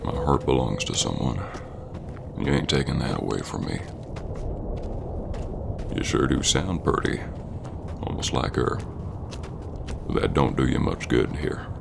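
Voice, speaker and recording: A man playing a stern, gentlemanly Old West bounty hunter with a gravelly voice and folksy talk, in an ASMR roleplay. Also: animated, noisy background, full character voice. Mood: stern, threatening. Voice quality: very deep, gravelly. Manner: slow-burning, stern gentlemanly drawling talk with folksy grammar. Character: gruff, principled Old West bounty hunter, male, wounded ex-lover. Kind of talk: ASMR roleplay acting addressed to the listener.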